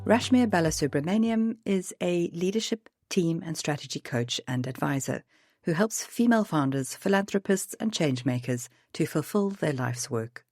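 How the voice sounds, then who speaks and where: Woman podcast host, a touch gravelly today, upbeat scripted read, dry close sound. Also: sits low, expressive, moderate pace, darkish tone, dry recording. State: slightly gravelly voice.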